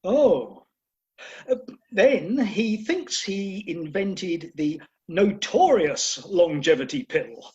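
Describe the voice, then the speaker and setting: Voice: mid-range voice, animated, drawn-out words, a little mellow. A performer playing a polite, hesitant character in an amateur comic radio play over a muffled video call. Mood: politely confiding. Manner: hesitant, courteous. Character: polite, hesitant relative consulting the doctors.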